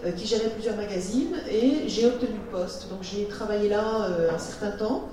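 A woman's voice: medium pitch, normal speed, background noise. Hesitant woman interpreter, echoey hall. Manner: hesitant, many fillers and restarts. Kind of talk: live consecutive interpretation. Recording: echoey hall, far from the mic.

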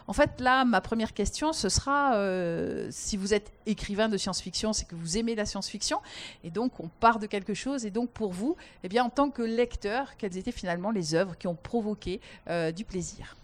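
A woman's voice: medium pitch, lively intonation, normal speed. A woman moderating a panel, introducing the guests and asking questions with many hesitations.

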